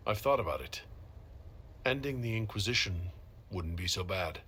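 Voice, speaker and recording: An actor playing a calm, kindly, modest leader in a video game. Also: male voice, low voice, normal speed, a little hiss or hum. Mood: somber. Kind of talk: dramatic video game dialogue.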